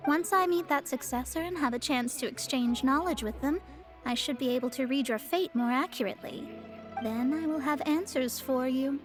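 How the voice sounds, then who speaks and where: A woman's voice: sits high, natural voice. A woman voicing a proud, haughty young female astrologer in a video game, formal and self-assured. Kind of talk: game voice acting.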